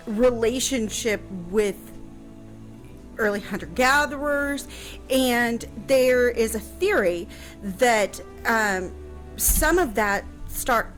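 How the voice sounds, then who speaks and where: Woman historian chatting, kinda high voice, halting explainer, bit of music in back. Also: rough recording. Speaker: historian guest answering questions on a radio show. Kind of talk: casual explanatory chat.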